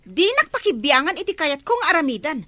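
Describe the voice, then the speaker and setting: A woman's voice: sits high, sing-song, brisk, background noise. A woman playing a spoiled, demanding, sneering young woman who bullies her household in an Ilocano radio drama. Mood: angry, sneering. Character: spoiled, bossy young woman bullying sibling and maid.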